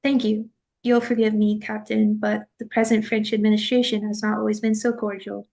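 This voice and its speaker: A woman's voice: medium pitch, flat delivery, moderate pace, a little mellow, lightly acted. A woman playing a dignified, guarded man in exile, formal and reserved, in an amateur script read-through. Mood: guarded, polite.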